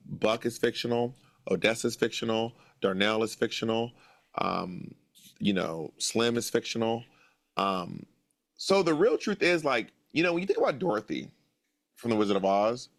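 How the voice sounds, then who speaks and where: A man's voice: medium pitch, fairly bright tone. An American man in his late thirties speaks as an interview guest, earnest and musing. He circles back on his phrases to stress them, in an ordinary mid-range voice. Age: 30s. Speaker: author guest. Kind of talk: reflective interview answer.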